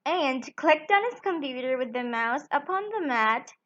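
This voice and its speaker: A woman hosting a children's storytime, reading a picture book aloud in a sing-song, deliberate way. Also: sits high, lively intonation, a bit bright, highs cut off. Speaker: children's storytime channel host.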